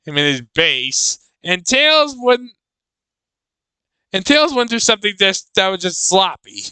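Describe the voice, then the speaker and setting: Guy doing casual reaction commentary, really bright clear voice, kinda halting, repeats himself thinking out loud. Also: high-pitched, very animated, no echo. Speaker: reaction video host.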